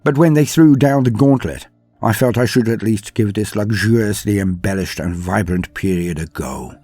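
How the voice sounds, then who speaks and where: Husky, low-voiced man narrating lore. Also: normal speed, warm tone, no room sound. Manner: theatrical, florid wording, self-deprecating. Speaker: lore channel host and narrator. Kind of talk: scripted lore narration with chatty asides. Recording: music under the voice. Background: background music.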